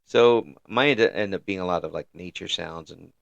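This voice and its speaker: A man in his fifties hosting a casual chat interview, talking in a halting, rambling way with repeated words. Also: sits low, creaky, a bit bright, no room sound, muffled recording. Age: 50s. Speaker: interview show host.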